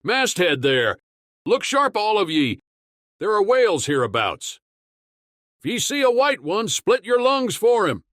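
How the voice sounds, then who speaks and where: A man playing a fierce old sea captain, shouting commands to his crew. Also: high-pitched, big pitch swings, measured pace, no room sound, studio quality, full character voice. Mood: fierce urgency.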